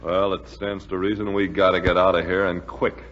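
An actor playing a laconic American military scout in a 1940s radio drama, casual and matter-of-fact. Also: male voice, sits low, little pitch movement, normal speed, a bit bright. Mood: insistent. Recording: muffled, noisy, echoey 1940s radio recording.

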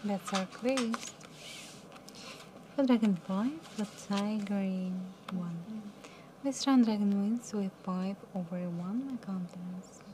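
A young woman working as a live casino card dealer, calling each round in a soft, mumbly, calm and polite way from a studio table. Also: mid-range voice, slow, a little hiss or hum, low-quality recording. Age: young adult. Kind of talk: announcing card game rounds.

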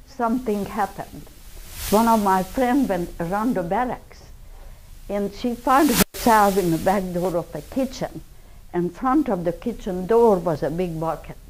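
Older Hungarian-accented woman, slow and soft. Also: medium pitch, low-quality recording. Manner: slow, deliberate storytelling with broken English grammar. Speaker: public speaker. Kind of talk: personal testimony talk. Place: indoor lecture room.

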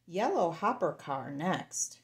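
Slow, animated woman reading to young children. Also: on the low side. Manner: sing-song, playful, addresses small children directly. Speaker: children's storyteller. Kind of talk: read-aloud picture book for young children.

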